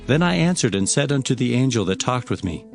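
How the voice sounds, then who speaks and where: A man's voice: mid-range voice, big pitch swings, creaky. Man playing a formal, reverent prophet over music. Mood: measured. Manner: measured, formal, declamatory. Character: reverent male Hebrew prophet recounting visions. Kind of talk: dramatized scripture narration.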